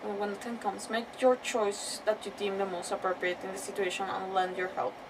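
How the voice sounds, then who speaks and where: A woman casually commentating on a live game playthrough, thinking aloud in an informal, expressive way. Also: medium pitch, a little fast, background noise. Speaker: game streamer. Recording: distant-sounding mic with game music behind. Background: game music.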